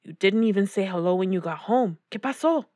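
A woman's voice: mid-range voice, animated, brisk, dark tone, dry recording, clean studio sound, natural voice. A woman voicing a loving, reassuring uncle in a children's storybook, speaking tenderly. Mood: concerned. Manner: tender, reassuring, mixes in Spanish endearments.

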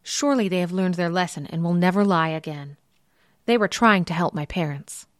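A woman's voice: on the low side, a little fast, dry recording, studio quality, natural voice. A woman voicing a brave, earnest young peasant girl in a storybook tale.

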